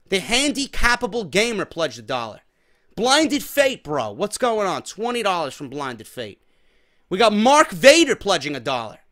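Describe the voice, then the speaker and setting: A man's voice: high-pitched, bright, forceful tone. A man with a New York accent hosting a podcast, chatting casually to viewers in an informal, emphatic, sweary way. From New York, USA. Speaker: podcast host.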